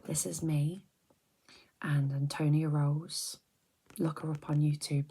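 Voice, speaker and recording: A middle-aged woman, chatting softly and rambling on a close mic for an ASMR video, often slipping into whispers. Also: sits low, level tone, takes it slowly, a little hiss or hum. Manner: soft-spoken, drifting into whispers, chatty and rambling. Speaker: ASMR creator. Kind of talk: soft-spoken ASMR chat.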